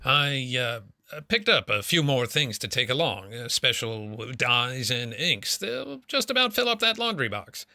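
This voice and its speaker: A crusty, suspicious older man played by a male actor in a radio mystery. His delivery is sharp and gruff, with big jumps in pitch and blustering irritation, recorded dry and close. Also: sing-song, a little slow, dry recording, full character voice. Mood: flustered. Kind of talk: radio mystery drama acting.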